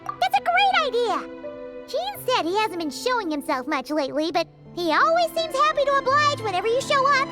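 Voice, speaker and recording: A woman voicing a tiny, excitable fairy girl companion in a video game, squeaky, childlike and chirpy. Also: brisk. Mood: curious. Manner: chirpy, exclamatory, refers to herself by name. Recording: loud music behind the voice.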